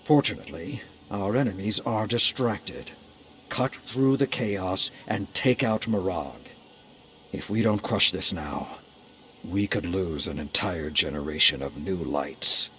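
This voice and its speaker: An actor playing a resolute military commander in a video game, giving a grave, authoritative mission briefing. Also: male voice, deep voice, measured pace, a bit bright, a little hiss or hum. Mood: stern, urgent.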